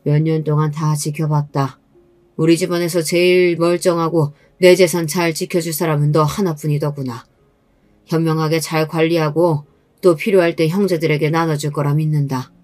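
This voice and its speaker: A woman's voice: low-pitched, little pitch movement, moderate pace, a little mellow, no room sound, close to an everyday voice. A woman voicing a stern, blunt old father-in-law who lays down his decisions in a narrated family story. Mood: calm, trusting. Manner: commanding, blunt. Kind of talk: acted dialogue in a narrated story.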